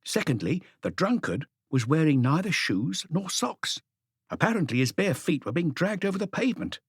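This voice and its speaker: A man voicing an excitable, eager police inspector who reports deferentially to his boss in an audiobook. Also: fairly high pitch, moderate pace, husky, no room sound, clean studio sound, heavily acted. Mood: eager, reporting.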